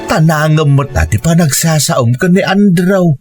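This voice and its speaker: A man with a Filipino accent playing a dutiful farmer son who gently scolds his mother in a radio drama. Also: a little high, a little slow, over music, natural voice. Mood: pleading. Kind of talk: Ilocano radio drama acting.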